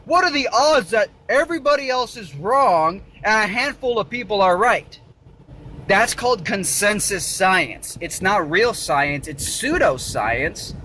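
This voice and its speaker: A man's voice: high-pitched, expressive, a little slow, bright, forceful tone. A man delivering an emphatic, repetitive, argumentative video monologue, lecturing from inside a car. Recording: faint vehicle noise. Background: faint car noise.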